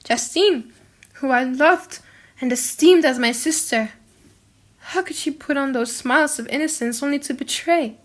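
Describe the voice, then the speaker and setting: A tender young gentlewoman as a woman narrator acts her with a high, bright voice. She is devoted and passionate, imploring and full of grief, in dramatic storybook style. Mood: dismayed, betrayed. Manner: earnest, emotive, imploring. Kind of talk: dramatic audiobook character acting.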